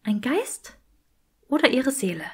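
A woman's voice: sits high, very animated, measured pace, breathy edge, pitched above the performer's own voice, full character voice. A woman voicing an earnest, curious and flustered young witch in an audiobook, hesitant and urgent. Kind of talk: audiobook character acting.

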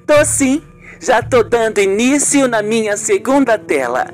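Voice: mid-range voice, animated, unhurried, faint music behind, pitched above the performer's own voice, close to an everyday voice. A performer voicing a chatty, friendly woman painter talking to a girlfriend in an amateur radio soap opera. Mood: friendly, animated.

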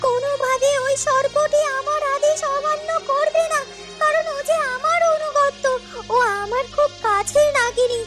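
A cartoon serpent queen, acted by a woman, speaks in an extremely high, piping voice. She is anxious yet commanding, pressing each point urgently, with music underneath. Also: fairly even intonation, a little slow. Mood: firm. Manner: urgent, emphatic. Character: anxious, commanding serpent queen of a fairy-tale snake realm. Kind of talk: dramatic cartoon voice acting.

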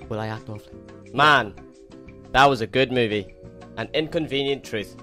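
A man's voice: medium pitch, normal speed, sharp tone, soft background music. A man on a podcast panel giving an amateur dramatic reading of script lines.

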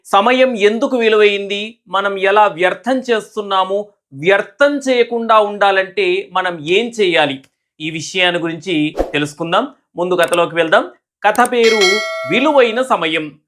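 A man's voice: high-pitched, fairly bright tone. A man narrating a moral story and advising students in a didactic, explanatory manner, in short repeated phrases.

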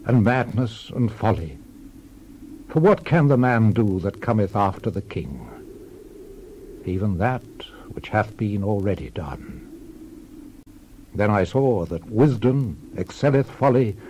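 A man playing a world-weary, reflective old king in a solemn, measured dramatized scripture reading, deliberate and letting his phrases fall away. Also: on the low side, a little mellow, slight background noise. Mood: stately, reflective. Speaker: actor in a dramatized Bible reading.

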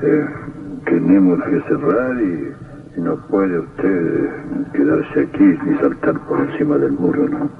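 An actor playing a gruff but obliging gravedigger with a hesitant, deferential manner in an old radio drama. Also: male voice, deep voice, very animated, takes it slowly, heavily acted. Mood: reluctant, obliging. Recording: tinny, distant old radio recording.

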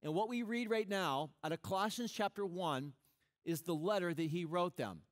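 A middle-aged man preaching a sermon on a church stage, animated and conversational, often restarting his sentences. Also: sits high, normal speed. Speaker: pastor.

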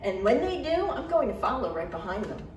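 A woman voicing an exasperated young woman record producer, commanding and sharp, in an echoey room. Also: medium pitch, sing-song, a little fast, recorded from a distance, slight background noise, voice pushed up, lightly acted. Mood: commanding angry.

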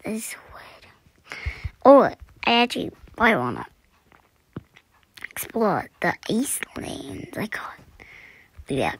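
A young woman chatting through a survival game, her voice scratchy this morning, clearing her throat and often dropping to a whisper. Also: on the high side, a bit bright, no echo. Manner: chatty, self-deprecating, often drops to a whisper. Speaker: gaming channel host. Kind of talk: casual gameplay commentary.

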